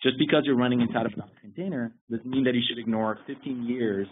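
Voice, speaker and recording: A man's voice: medium pitch, quick pace. A man presenting a technical webinar, explaining things steadily with occasional restarts. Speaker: security lead presenting a webinar. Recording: husky voice over a tinny phone-like line.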